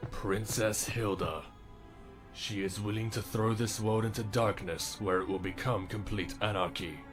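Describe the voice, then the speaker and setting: A man voicing a sinister, scheming villain's second in command in an amateur fantasy machinima, speaking formally and gravely with a creaky voice. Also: on the low side, fairly even intonation, close to an everyday voice. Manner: slow, formal, measured. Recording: home-recorded fan film, music underneath.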